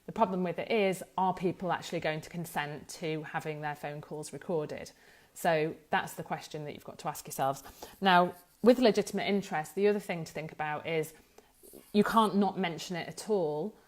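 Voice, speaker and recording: Woman explaining, slightly low voice. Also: normal speed. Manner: hesitant, thinking aloud, self-correcting. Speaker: data protection law expert presenting an explainer. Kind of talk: informal explanatory talk to camera.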